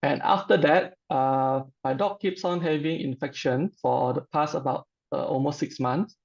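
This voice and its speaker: A middle-aged man with a Malaysian accent, giving an informal personal testimonial in a halting, hesitant way. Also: a little high, vocal fry, lo-fi sound.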